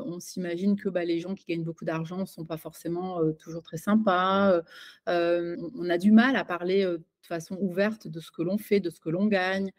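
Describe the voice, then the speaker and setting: A woman's voice: lowish voice, darkish tone. A woman giving an interview as a coach and author, talking in a hesitant way with many fillers and restarts. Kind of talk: casual conversational interview.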